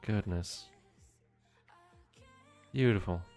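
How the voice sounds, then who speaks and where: A young man streaming a game from home, chatting with his viewers in a soft, meandering way. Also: sits low, drawn-out words, faint music behind. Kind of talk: casual gameplay commentary.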